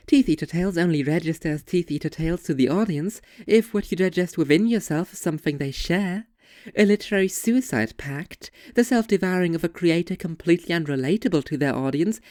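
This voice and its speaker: An intense, sardonic young woman played by a performer argues her case in deliberate, articulate sentences. The voice sits at a middling pitch and is recorded very dry and close. It sounds like scripted literary dialogue, opinionated throughout. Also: mellow, dry recording, close to an everyday voice. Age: young adult. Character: two young women debating art, intense and sardonic. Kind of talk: scripted literary dialogue, argumentative monologue delivery.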